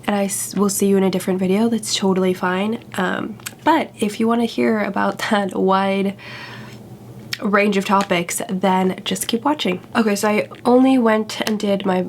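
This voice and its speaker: A woman's voice: mid-range voice, fairly even intonation, moderate pace, slight background noise. A young woman vlogger sounding congested from a cold, talking in a chatty, candid, self-deprecating way. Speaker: YouTuber. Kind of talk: casual vlog chat.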